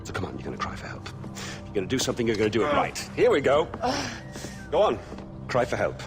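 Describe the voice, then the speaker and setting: A man's voice: mid-range voice, huge pitch swings, fast talker, over music. A man playing a flamboyant, middle-aged tyrant in a video game cutscene, theatrically polite, mocking and volatile. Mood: mocking, angry. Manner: theatrical, affected politeness breaking into swearing outbursts.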